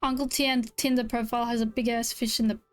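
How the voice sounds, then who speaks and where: A woman's voice: fairly high pitch, level tone, fast. A woman streaming a game, giving playful, quippy and casual playthrough commentary. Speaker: gaming streamer reacting to a game. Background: game music.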